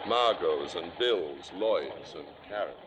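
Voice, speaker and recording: Low, suave, cynical theater columnist. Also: male voice, lively intonation, slow pace. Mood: wry narration. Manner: sardonic, articulate, commanding. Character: suave, cynical, domineering male theater columnist, coolly cruel. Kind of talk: 1940s-50s radio drama acting and narration. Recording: vintage radio recording, music behind.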